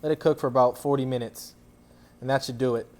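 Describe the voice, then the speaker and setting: A man's voice: mid-range voice, fairly even intonation, quick pace, a little mellow. A man giving an informal, playful cooking demonstration, instructing as he goes. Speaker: athlete cooking a dish as guest chef. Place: indoor kitchen.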